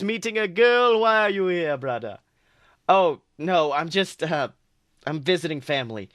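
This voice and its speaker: Man narrating fan fiction, slightly high voice. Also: a bit bright, no echo, clean studio sound. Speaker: podcast co-host. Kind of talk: reading fan fiction aloud.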